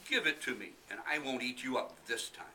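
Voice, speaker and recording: A man voicing greedy, boastful tigers in a storybook, loud and showy, recorded from a distance with faint noise. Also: mid-range voice, a little fast. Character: boastful greedy male tigers, big and menacing.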